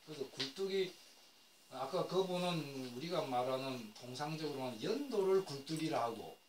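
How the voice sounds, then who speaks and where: A man's voice: fairly high pitch, lively intonation, normal speed. An older man giving a classroom lecture on traditional floor heating, rambling and correcting himself, with many fillers as he explains. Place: lecture room. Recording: echoey room, recorded from a distance with faint noise.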